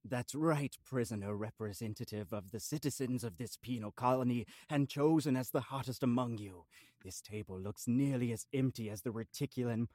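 A man's voice: medium pitch, normal speed, a little mellow, dry recording, clean studio sound, close to an everyday voice. A man voicing a grandiose she-goat in an audio drama, declaiming in pompous, archaic phrases. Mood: grandly proclaiming. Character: grandiose old she-goat orator, hungry and florid.

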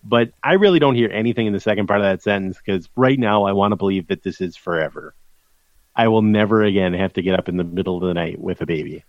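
Male co-host, lowish voice, deadpan self-mocking, dry dull mic. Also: quick pace, no room sound. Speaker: podcast co-host. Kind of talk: casual chat.

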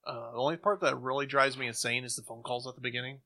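A man's voice: mid-range voice, steady tone, quick pace, bright, forceful tone. A man on a podcast, casually sharing his opinions in conversation. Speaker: podcast co-host.

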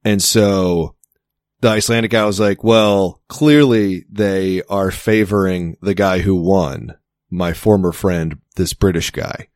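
A man's voice: deep voice, slow, darkish tone, no echo, studio quality. A young man chatting casually, telling a long story with many restarts and the odd swear word. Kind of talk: casual chat.

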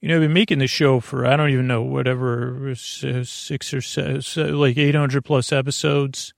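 A man hosting a sleep podcast in a casual, meandering monologue, halting and drifting off topic, with a gravelly creak in his voice. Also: mid-range voice, steady tone, dry recording, studio quality. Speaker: podcast host. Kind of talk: rambling casual monologue to help listeners fall asleep.

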